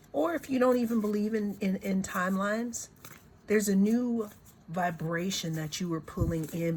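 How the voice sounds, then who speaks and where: A woman giving a reflective spiritual card reading, her voice hoarse, talking in unhurried, circling phrases that often repeat words. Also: fairly low pitch, slight background noise. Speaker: tarot and oracle card reader.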